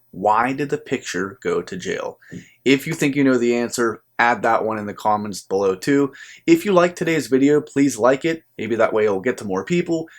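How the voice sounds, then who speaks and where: An American man presenting a scripted book review to viewers as the channel's host, speaking in an earnest, measured way with emphatic phrasing. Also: mid-range voice. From United States.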